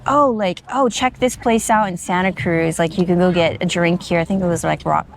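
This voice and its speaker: A woman's voice: fairly low pitch, normal speed, gravelly, slight background noise. A young adult woman, a social media creator, chatting casually as a podcast guest outdoors at a beach park, with plenty of filler words. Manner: chatty, uses many fillers like "like" and "um". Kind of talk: casual interview chat.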